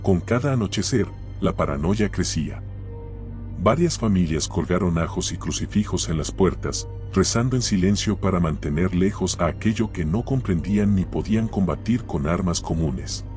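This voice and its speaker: A man's voice: deep voice, expressive, normal speed, darkish tone. A man narrating a scripted horror story. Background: background music.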